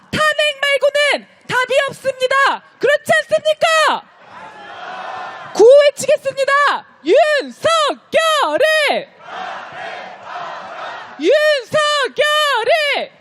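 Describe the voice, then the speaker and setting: A woman leading a street protest, delivering impassioned, declamatory speech and shouted chants to the marchers. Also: very high-pitched, expressive, a little slow, crowd noise, noisy background. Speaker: rally host leading chants. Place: outdoor street march beside a park.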